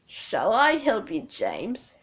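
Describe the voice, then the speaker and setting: A woman voicing a kind, helpful male steam engine who speaks gently and encouragingly. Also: a little high, huge pitch swings, slow pace, full character voice, rough recording. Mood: offering.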